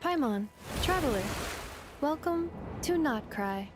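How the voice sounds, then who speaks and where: A woman's voice: high voice, expressive, takes it slowly, noisy background. A woman voicing a polite, literal robot guide in a fantasy video game, speaking formally and precisely. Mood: welcoming. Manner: formal, precise, matter-of-fact. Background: faint background music.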